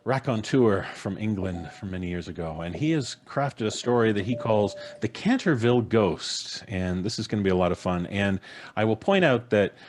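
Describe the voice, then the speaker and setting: A man's voice: lowish voice, moderate pace. A middle-aged man, casually hosting a live podcast show from a home studio. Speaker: podcast host. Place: home studio, video call. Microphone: microphone on boom arm, headphones.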